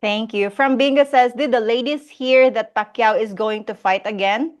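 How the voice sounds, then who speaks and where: A woman's voice: fairly high pitch, moderate pace. A Filipino woman hosting a casual live Q&A, reading out viewer questions and laughing often. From Philippines. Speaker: host of a live Q&A.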